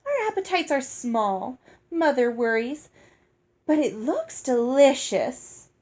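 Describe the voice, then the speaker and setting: A prim, complacent girl from a storybook, acted out by a woman reading aloud. She speaks in a raised pitch with firm, falsely dainty condescension. She sounds snooty and greedy. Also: expressive, slow, darkish tone, exaggerated voice. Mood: prim, gushing.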